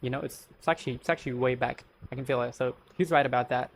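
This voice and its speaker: A man's voice: medium pitch, fairly even intonation, fast, slight background noise. A young man from India with an Indian accent, chatting casually as he reacts to a video, circling back with "so the thing is.". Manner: rambling, repeats "so the thing is".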